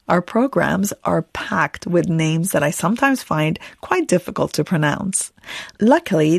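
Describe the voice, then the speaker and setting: A woman hosting a radio interview show, talking lively with her guests and laughing easily. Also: on the low side, creaky, breathy edge, no room sound, studio quality. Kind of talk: presenting and interviewing. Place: office at a broadcaster.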